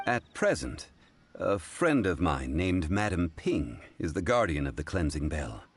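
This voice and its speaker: An actor playing a composed, mysterious gentleman in a video game, speaking in a measured, formal, courteous manner. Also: low voice, very animated. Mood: calm, formal. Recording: soft game music behind.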